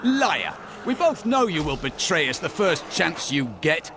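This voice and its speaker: A man's voice: on the high side, sing-song, a little slow, background noise. A man voicing a stern, righteous templar warrior who speaks with open hostility. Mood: hostile. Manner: hostile, accusing. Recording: game mix with loud music.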